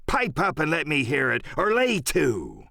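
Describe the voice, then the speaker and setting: A man's voice: fairly high pitch, huge pitch swings, a little slow, gravelly, bright, forceful tone, no echo, studio quality, pitched above the performer's own voice, exaggerated voice. A man voicing a cunning, blustering old one-legged pirate sea cook in an audiobook, with rustic, emphatic sailor speech. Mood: challenging. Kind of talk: dramatic audiobook character acting.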